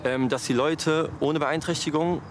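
A man's voice: fairly high pitch, steady tone, measured pace, noisy background. A man in his twenties, an event agency founder, speaking earnestly and emphatically in an interview. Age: 20s. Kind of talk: interview statement. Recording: music behind voice.

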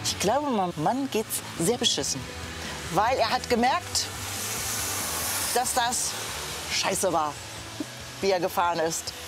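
A woman's voice: medium pitch, expressive, takes it slowly, pauses often. A woman talking casually and bluntly with her husband while they travel. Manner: blunt, down-to-earth talk. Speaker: traveling wife. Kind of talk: casual chat. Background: music.

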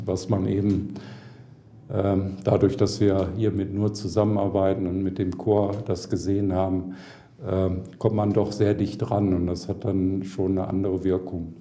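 A man with a very deep, dark voice speaks hesitantly, filling his pauses often. His delivery stays flat. The office around him sounds roomy. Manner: hesitant, lots of fillers. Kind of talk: interview.

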